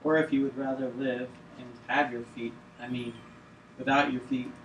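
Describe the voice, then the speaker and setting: A man acting a careful, halting judge questioning a sick patient in a drama table read. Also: medium pitch, little pitch movement, close to an everyday voice. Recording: echoey room, distant mic, noisy background.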